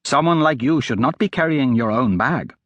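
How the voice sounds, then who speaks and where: A man voicing an eager, cheeky adolescent street boy in a fantasy audiobook, excitable and wheedling. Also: mid-range voice, moderate pace, dry recording, muffled recording. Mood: eager, flattering. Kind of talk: audiobook character acting.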